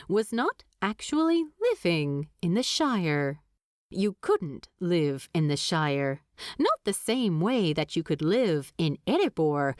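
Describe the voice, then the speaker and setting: A woman's voice: medium pitch, dry recording, studio quality. A woman reading a story aloud as a scripted audiobook narration.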